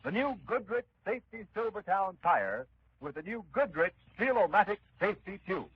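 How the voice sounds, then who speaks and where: A man's voice: sits high, sing-song, moderate pace, slight background noise. A man working as a 1930s radio announcer, reading advertising copy with emphatic, polished delivery. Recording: muffled 1930s radio recording.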